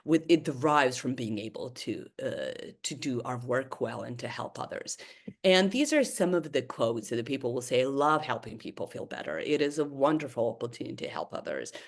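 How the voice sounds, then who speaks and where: A woman's voice: extremely low pitch, very animated, brisk. Woman in her fifties, hesitant webinar lecture. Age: 50s. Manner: hesitant, many fillers and restarts. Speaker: therapist giving a webinar talk.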